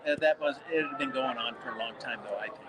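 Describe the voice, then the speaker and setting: A man's voice: medium pitch, fast talker, heavy background noise. An older man chatting casually with visitors at a conference booth, rambling through long anecdotes. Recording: loud crowd chatter behind.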